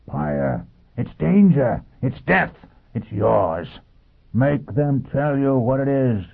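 A man's voice: fairly low pitch, normal speed, creaky, husky. A man voicing a savage, fanatical fugitive in a science fiction audiobook, exhorting in short emphatic bursts. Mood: roaring, urgent. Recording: muffled, phone-like narrow band.

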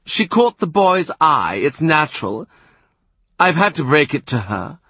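A man plays a cold, smooth diplomat who picks each word with care and pauses with discretion. The voice is husky and close to the reader's own. The pitch rises and falls freely and the sound is dry. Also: very animated, sharp tone, no room sound, heavily acted. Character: cool, smooth, evasive male diplomat.

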